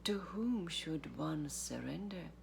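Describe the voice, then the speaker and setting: A woman giving a literary reading, with deliberate, measured phrasing, long pauses and a breathy, gravelly voice. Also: low voice, expressive, slight background noise. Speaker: artistic director of a theatre, reading aloud.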